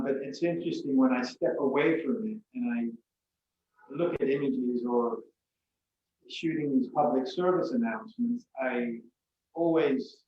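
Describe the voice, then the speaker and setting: A man, a photographer guest, speaking reflectively and haltingly, with many pauses. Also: mid-range voice, darkish tone, slight background noise. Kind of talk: casual interview talk. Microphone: video call. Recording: echoey, distant, muffled video call audio.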